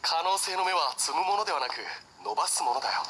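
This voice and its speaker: An actor playing a wry, scholarly retired warlord in a video game, musing and mildly weary. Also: male voice, a little high, expressive, quick pace, very noisy, close to an everyday voice. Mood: thoughtful, assured. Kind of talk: Japanese video game cutscene acting. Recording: game audio with loud backing music.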